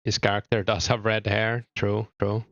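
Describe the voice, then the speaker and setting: A young man from Finland with a mild Finnish accent, chatting casually with viewers while streaming a game, relaxed and thinking aloud with small hesitations. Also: lowish voice, moderate pace, dry recording, muffled recording. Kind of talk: casual chat reading viewer comments.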